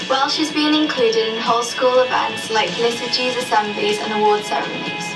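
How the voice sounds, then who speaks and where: A woman's voice: fairly high pitch, steady tone, a little fast. A young female voice reading scripted narration carefully. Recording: distant voice with loud music.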